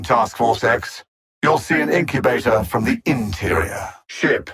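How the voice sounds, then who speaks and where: An actor playing an arrogant alien supervillain in a video game, coldly menacing and theatrical, with haughty, condescending, precisely worded speech. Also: male voice, mid-range voice, vocal fry, a bit bright, husky, full character voice. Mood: gloating threat. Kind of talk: scripted video game villain lines.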